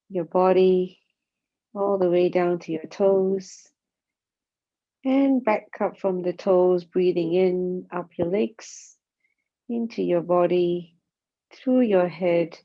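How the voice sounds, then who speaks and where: A woman guides a body scan meditation, speaking calmly and gently in short, spaced phrases. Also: on the low side. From Singapore. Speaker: mindfulness meditation teacher. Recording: dull, muffled lo-fi sound.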